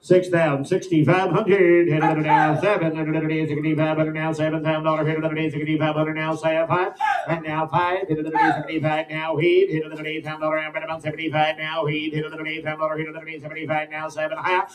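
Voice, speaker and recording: A man's voice: fairly high pitch, completely flat delivery, fast talker, a crowd in the background. An American man working as a livestock auctioneer, with rhythmic, repetitive patter and sales talk. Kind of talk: rapid auction chant mixed with spoken sales pitches. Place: indoor livestock auction ring. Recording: echoey PA in auction barn.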